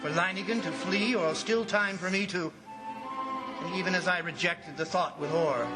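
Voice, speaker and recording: An actor playing an anxious, fascinated commissioner who narrates a jungle ordeal in old-time radio drama style, dramatic and halting. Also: male voice, on the high side, close to an everyday voice, poor audio. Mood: brooding dread. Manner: dramatic, hesitant and halting under worry.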